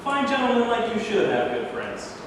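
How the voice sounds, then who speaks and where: A man's voice: high voice, very animated, moderate pace, distant mic. A man playing a genial, commanding president who is out incognito, in a staged reading recorded from afar in an echoey, noisy hall. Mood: genial, courteous.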